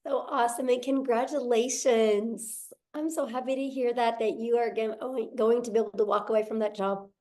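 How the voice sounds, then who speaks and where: A woman's voice: a little high, fairly even intonation, darkish tone. Upbeat woman hosting a webinar. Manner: upbeat and encouraging, quick affirmations. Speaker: webinar host.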